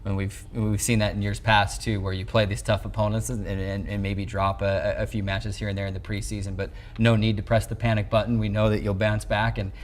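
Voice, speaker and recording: Young American man presenting sports, lowish voice. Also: level tone, a little fast, few pauses, soft background music. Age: 20s. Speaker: sports show host. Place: indoor TV studio sets. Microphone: lapel mic.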